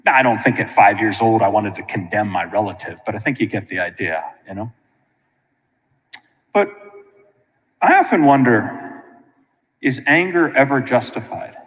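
American priest preaching in a reverberant church. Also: male voice, lowish voice, normal speed, long pauses. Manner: colloquial and animated, with frequent asides to listeners. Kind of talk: informal conversational sermon. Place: large church sanctuary, indoors.

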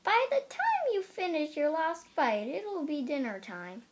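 A child reader puts on a rude, flashy storybook hare. He is high and lively, taunting and full of boasts, with slow delivery. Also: slight background noise.

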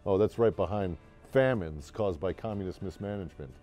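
A man's voice: sits low, a little fast, mellow. An American man hosting as a storyteller, giving a dramatic scripted tale in a brash, tongue-in-cheek way with crude comic asides. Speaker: host and storyteller. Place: small wood-panelled room, indoors. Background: faint music.

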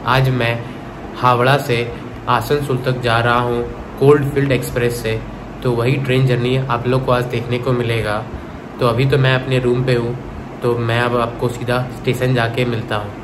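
Young man vlogging over loud train noise. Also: mid-range voice, normal speed. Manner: chatty, steady, plain delivery. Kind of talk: casual travel vlog narration. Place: inside a train and rooms.

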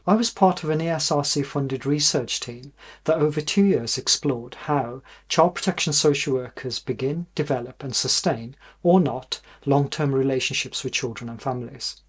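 Middle-aged British man, slightly husky presenter. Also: medium pitch. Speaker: university professor presenting research. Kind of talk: explanatory presentation to camera.

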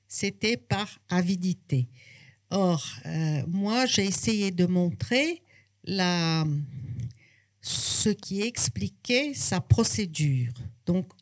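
Older author, slow and reflective, handheld mic in a bookshop. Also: female voice, lowish voice, animated. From Brazil. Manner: unhurried, reflective, hesitant restarts. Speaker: author and psychoanalyst. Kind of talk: bookshop talk. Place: bookshop, indoors.

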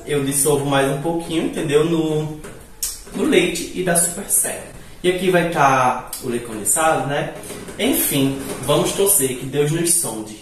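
Young man with a hissing northeastern Brazilian accent. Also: a little high. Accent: northeastern Brazilian, Recife-like. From Natal, northeastern Brazil. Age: young adult. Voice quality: hissing, slushy s sounds. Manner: chatty, playful, breaks into giggles. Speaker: vlogger. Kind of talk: casual cooking vlog chat. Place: tiled home kitchen, indoors. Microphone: camera several feet away. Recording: echoey tiled kitchen, distant mic.

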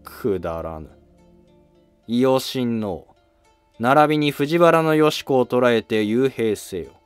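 A man's voice: mid-range voice, animated, slow pace, clear, resonant tone, dry recording, soft background music. A man playing a curt, imperious Heian emperor who speaks in terse, commanding period language. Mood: contemptuous, commanding.

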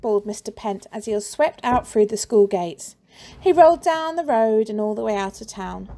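A woman teacher reading a children's storybook aloud with lively expression and playful sound effects. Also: on the high side, moderate pace.

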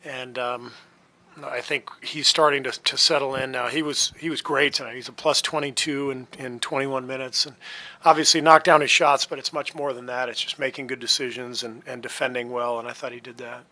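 A man in his fifties, a basketball head coach, answering reporters' questions at a postgame press conference in a relaxed, halting way with many fillers. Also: mid-range voice. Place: press room before a sponsor backdrop. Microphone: table mic on a stand.

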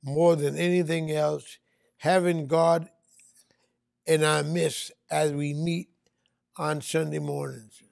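An older man speaking slowly in an interview, easygoing and chuckling about his church's dress habits. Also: a little high, flat delivery, creaky, darkish tone, no room sound, studio quality. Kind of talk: interview reminiscence.

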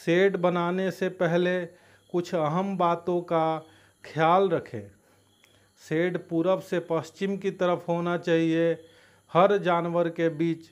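Slow, higher-pitched man narrating instructions. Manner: halting, broken into short phrases. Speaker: farming video narrator.

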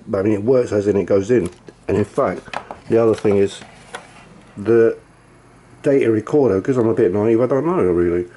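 A man's voice: medium pitch, mellow, husky. A man casually demonstrating old computer gear, thinking aloud in a rambling, self-deprecating way. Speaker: retro computer hobbyist. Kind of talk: casual hands-on demo.

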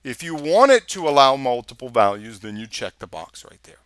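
A man with a Texas accent, walking through a software screencast in a casual, think-aloud way. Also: medium pitch, very animated, moderate pace, smooth. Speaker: software instructor. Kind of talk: screencast tutorial walkthrough. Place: voice-over of a screen recording.

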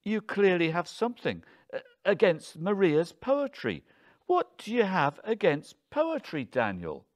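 A man's voice: fairly low pitch, big pitch swings, slow, few pauses, heavily acted. A man voicing an indignant middle-aged wife in an audiobook, exasperated and haughty as she scolds. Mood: exasperated, mocking.

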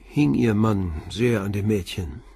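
An actor playing a calm police inspector who asks short, probing questions in a radio drama. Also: male voice, on the low side, a little slow, creaky, breathy edge, dry recording, clean studio sound, exaggerated voice. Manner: brief, quiet, probing questions. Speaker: detective questioning a witness.

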